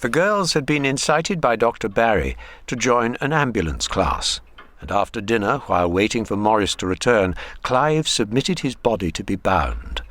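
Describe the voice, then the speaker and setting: A man's voice: lowish voice, big pitch swings, moderate pace, fairly bright tone. A man narrating a scripted radio drama.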